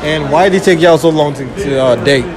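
Mall interviewer, male, a bit high, easygoing slang, music bed behind. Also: fairly quick. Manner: relaxed, slangy questions. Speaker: street interviewer. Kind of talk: casual public interview. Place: indoor shopping mall. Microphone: handheld phone.

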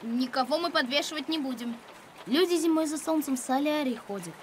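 A woman's voice: sits high, fairly quick, slight background noise, natural voice. A woman voicing a sensible, enthusiastic young boy with a matter-of-fact manner in a children's cartoon. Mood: reasonable. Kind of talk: animated children's cartoon dialogue.

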